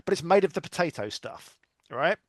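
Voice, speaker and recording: A man's voice: fairly high pitch, expressive, brisk, no room sound, clean studio sound. A middle-aged British man chatting casually, blunt and self-deprecating, as a podcast guest. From United Kingdom. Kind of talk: casual chat.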